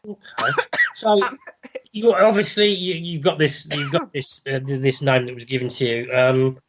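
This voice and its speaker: A man co-hosts an online radio show, his voice stuffed up from a cold. He talks in a relaxed, halting way with many restarts, over slightly muffled, lo-fi audio. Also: fairly high pitch, background noise. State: bunged up with a cold. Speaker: co-host.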